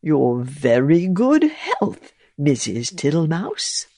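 A woman puts on a greedy, unbothered storybook toad who croons his words in a lazy sing-song. The voice sits low with a gravelly edge and swoops about lazily. It is close and dry, with no room around it. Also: huge pitch swings, takes it slowly, dark tone, no room sound, full character voice. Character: greedy, easygoing male toad with a lilting call.

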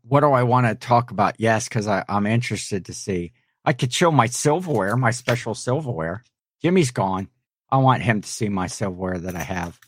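A man's voice: fairly low pitch, expressive, moderate pace, no echo. A man co-hosting a casual live stream, reading the chat aloud and teasing his partner in an easygoing way. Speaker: live show co-host.

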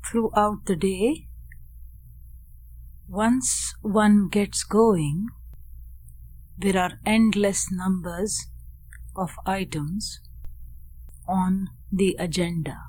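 A woman leading a guided meditation in a measured, deliberate way, speaking in short phrases with long pauses. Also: mid-range voice, a little mellow. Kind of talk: guided meditation with Sanskrit chanting and teaching.

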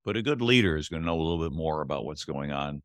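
A man's voice: extremely low pitch, animated, quick pace, no room sound, studio quality. A man hosting a podcast interview, reflecting on the guest's story and listing points one after another. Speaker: podcast host. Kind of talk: interview commentary.

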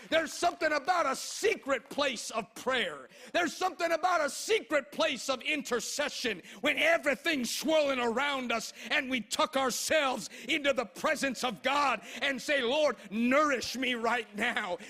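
High-pitched, bright-voiced male preacher. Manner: emphatic, declamatory, with drawn-out phrases. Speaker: pastor. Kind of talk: impassioned sermon.

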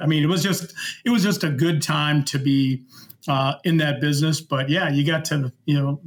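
A middle-aged American man reminiscing casually as a guest, haltingly, often saying "you know". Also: on the high side. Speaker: interview guest.

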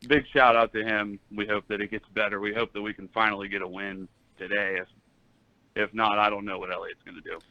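Casting: male sports show caller, lowish voice, dry, muffled lo-fi call audio, casual chat. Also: level tone, a little fast, pushed and bright, no room sound. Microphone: remote call-in line.

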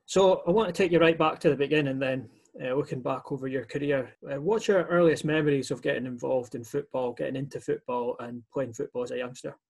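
A man's voice: medium pitch, brisk, vocal fry, hardly any pauses, darkish tone, slightly rough. A man hosting a podcast, asking friendly, long winding questions. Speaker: podcast host. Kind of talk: interview questions.

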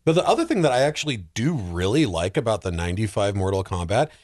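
Animated man with a swooping, expressive voice. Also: on the low side, quick pace. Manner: animated, wry, acts out imagined remarks. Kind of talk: casual film discussion.

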